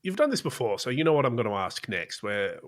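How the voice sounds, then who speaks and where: A man's voice: mid-range voice, big pitch swings, fast. A man hosting a podcast, interviewing in a casual, conversational way. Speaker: podcast host.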